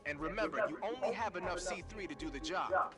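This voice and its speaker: An actor playing a brisk, knowledgeable military support officer in a video game, explaining and instructing with emphasis. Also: male voice, high voice, lightly acted. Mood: instructive. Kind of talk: video game radio briefing. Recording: loud music under the voice.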